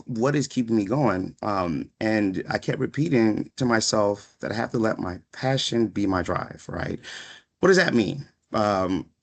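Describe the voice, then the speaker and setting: A man in his thirties speaks in a fairly low voice, giving a motivational talk to students. He is reflective and conversational, and he often pauses to check that listeners follow. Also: creaky. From born in Ghana, raised in Washington, D.C. area from age five. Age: 30s. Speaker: guest speaker, psychiatric pharmacist. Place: online video session.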